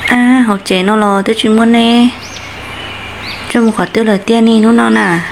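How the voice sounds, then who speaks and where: Woman voicing a higher-pitched folk-tale cartoon character. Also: steady tone, moderate pace, creaky, background noise. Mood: conversational. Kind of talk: amateur cartoon dialogue.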